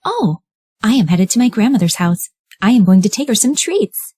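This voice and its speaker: A woman voicing a sweet, polite little girl in a storybook reading, with a high, eager voice. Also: big pitch swings, moderate pace, dark tone, husky. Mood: cheerful.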